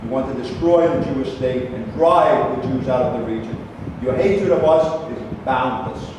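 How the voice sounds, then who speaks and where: A man's voice: medium pitch, normal speed, natural voice. A man playing an aggrieved, combative older Israeli debater in a staged play reading, arguing with emphatic indignation. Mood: bitter. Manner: argumentative, indignant, emphatic declamation. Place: live staged reading in a reverberant room. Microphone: distant microphone. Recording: echoey hall from far off, noisy.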